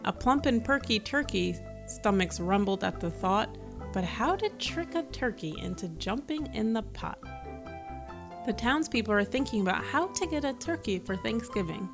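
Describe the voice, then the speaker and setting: A woman's voice: fairly high pitch, lively intonation, normal speed. Woman reading a children's story over music. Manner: engaging, asks the listener questions. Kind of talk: read-aloud storytelling with asides to young listeners.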